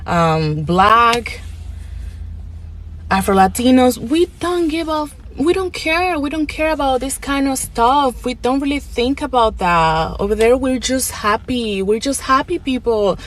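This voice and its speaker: A woman's voice: high-pitched, expressive, a little slow. A woman from the Dominican Republic giving a casual, dismissive rant to the camera in a lecturing tone.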